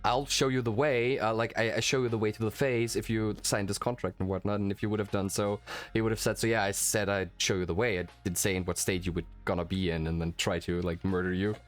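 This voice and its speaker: A man's voice: fairly low pitch, steady tone, fast talker. Rambling, enthusiastic man, native German speaker, music behind. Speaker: game master and host. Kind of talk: casual post-game chat. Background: faint background music.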